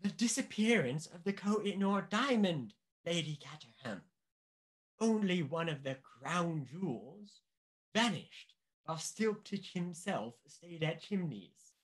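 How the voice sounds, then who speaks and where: An actor playing a pompous, anxious upper-class government official in a mystery radio play, speaking deliberately and emphatically. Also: male voice, sits high, lively intonation. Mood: alarmed, urgent.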